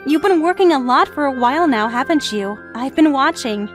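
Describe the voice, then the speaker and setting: A woman plays a gentle young receptionist in a romance comic dub. She is kind and polite, speaking in a very high voice. Faint music plays behind her. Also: animated, fairly quick. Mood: kind, shy. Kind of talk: anime-style dub acting.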